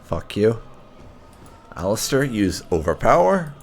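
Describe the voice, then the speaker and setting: A man's voice: lowish voice, sing-song, slow, a little hiss or hum. A man giving casual, sweary and wry commentary as the host of a gaming video.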